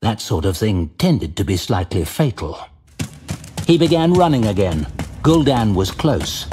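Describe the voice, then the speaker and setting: A man giving dramatic, measured scripted narration for an audio drama, with faint music behind. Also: on the low side, sing-song, warm tone, a little hiss or hum.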